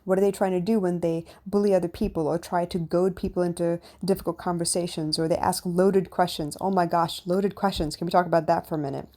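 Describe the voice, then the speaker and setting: A woman with a deep, husky voice talks through her own experiences on a solo podcast. Her pitch sits on the low side, and her delivery is earnest and reflective, loose and conversational. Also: steady tone, quick pace, few pauses, mellow. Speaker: podcast host and relationship coach.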